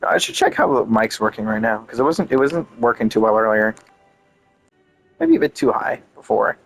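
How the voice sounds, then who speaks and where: A man's voice: lowish voice, big pitch swings, normal speed, creaky, rough recording. A man doing casual gameplay commentary, rambling and thinking aloud as he streams. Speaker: game streamer. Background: game music.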